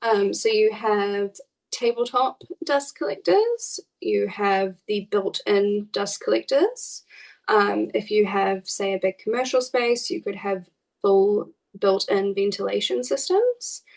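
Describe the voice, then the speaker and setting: A woman guest who speaks as a skin expert, calm and explanatory, pausing often on "um". Her voice has a gravelly edge and sits a little back from the microphone. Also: medium pitch, far from the mic, poor audio. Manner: measured, explanatory, many "um"s. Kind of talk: casual chat.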